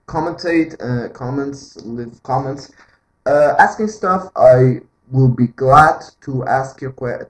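Man, Portuguese accent, native Portuguese speaker, mid-pitched voice, halting and earnest, casual gaming commentary, echoey room. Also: darkish tone. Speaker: gaming video creator. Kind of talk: casual unscripted game commentary.

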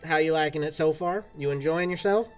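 A man's voice: on the high side, fairly quick, faint music behind. A man, chatting casually and folksily with his chat while streaming a game. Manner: folksy cowboy-style talk. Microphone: desk mic, game audio from a speaker.

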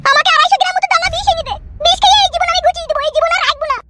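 Squeaky, rapid-fire nagging cartoon village wife. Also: female voice, very noisy. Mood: wounded, tearful. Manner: scolding, complaining. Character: nagging, tearful young village wife, affectionate but quarrelsome. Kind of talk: cartoon comedy dialogue.

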